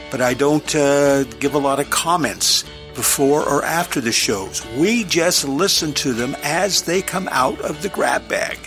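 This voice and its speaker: Guy doing a casual podcast intro, normal pitched voice, music going behind him. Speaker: podcast host.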